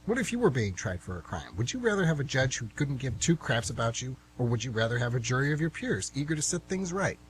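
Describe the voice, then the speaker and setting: A man playing an indignant, civic-minded older gentleman on jury duty in an amateur radio play, with a lecturing manner. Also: medium pitch, sing-song, fast, few pauses, lightly acted, low-quality recording. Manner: lecturing, righteous. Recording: amateur radio, faint background hum.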